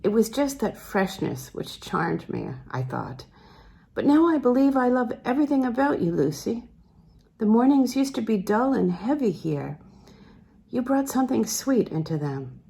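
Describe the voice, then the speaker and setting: A woman voicing a tender, melancholy older man who speaks gently, hesitantly and earnestly in a literary audiobook. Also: fairly high pitch, very animated, normal speed, creaky, pitched above the performer's own voice. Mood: tender, wistful confession.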